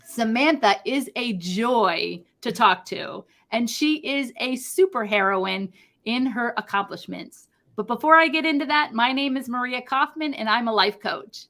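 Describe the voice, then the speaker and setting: A woman with a high, bright voice hosts an interview over a video call. She reads a polished introduction, then chats in an upbeat, effusive way. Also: lively intonation, measured pace. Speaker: host, life coach. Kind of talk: reading an introduction, then casual interview chat.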